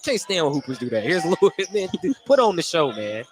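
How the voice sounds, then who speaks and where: Higher-voiced male basketball play-by-play announcer. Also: big pitch swings, brisk. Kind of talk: live basketball radio commentary. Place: indoor basketball gym, courtside. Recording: noisy gym broadcast. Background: game noise, bouncing basketball.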